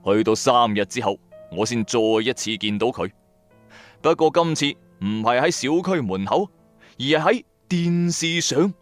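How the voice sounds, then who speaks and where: A man with a Cantonese accent narrating a supernatural tale in the first person, dramatic, colloquial and expressive. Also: mid-range voice, measured pace, faint music behind. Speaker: storyteller narrator.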